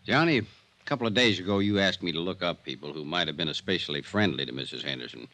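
A man's voice: low voice, animated, fast talker, sharp tone, no room sound, full character voice. An actor playing a folksy, fatherly small-town sheriff with colloquial phrasing in a 1950s radio drama. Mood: easygoing, fatherly.

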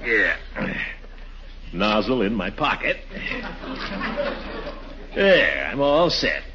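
An actor playing a pompous, excitable middle-aged householder who mutters and exclaims theatrically in a 1940s radio comedy. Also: male voice, on the low side, extremely slow, muffled recording, crowd noise, exaggerated voice. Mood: self-absorbed muttering. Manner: muttering to himself, theatrical exclamations, chuckling bluster. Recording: noisy, band-limited 1940s radio recording.

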